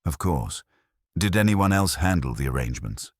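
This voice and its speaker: A man reading the part of a polite, dry police inspector, terse and calm in an audio mystery story. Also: sits low, moderate pace, breathy edge, no echo. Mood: calm, probing.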